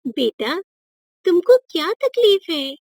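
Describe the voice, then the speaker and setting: A woman voicing a kindly, motherly magical fairy who gently gives advice in a moral-story cartoon. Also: extremely high pitch, sing-song, slow, no echo, clean studio sound, pitched above the performer's own voice, full character voice. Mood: caring. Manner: gentle advising.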